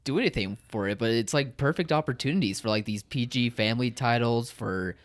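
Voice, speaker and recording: A man's voice: mid-range voice, a little fast. A young man co-hosting a podcast, talking in an easygoing, agreeable way. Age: young adult. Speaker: podcast co-host. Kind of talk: casual chat.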